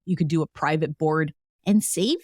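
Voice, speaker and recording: A woman's voice: low voice, normal speed, darkish tone, no room sound, studio quality. A young woman hosting a solo self-help podcast, talking in an encouraging, chatty way with frequent "like" fillers. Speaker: podcast host.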